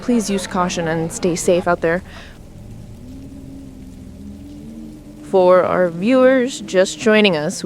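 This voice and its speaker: A woman playing a composed TV news anchor, reading grave emergency bulletins in a formal, measured way. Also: fairly low pitch, darkish tone, slight background noise, lightly acted. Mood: urgent, controlled. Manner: formal, measured newsreader delivery. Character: composed female TV news anchor covering a deadly outbreak. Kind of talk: scripted breaking-news narration.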